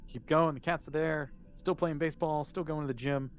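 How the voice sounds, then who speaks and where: A man's voice: on the high side, steady tone, fairly quick, soft background music. A man, improvising casually and encouragingly as game master of a tabletop role-playing game.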